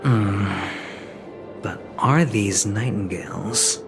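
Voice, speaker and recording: A cool male schemer from a video game, speaks in an unhurried mid-range voice. He is playful, wry and teasing, with music clearly behind him. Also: fairly low pitch. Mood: teasing, amused.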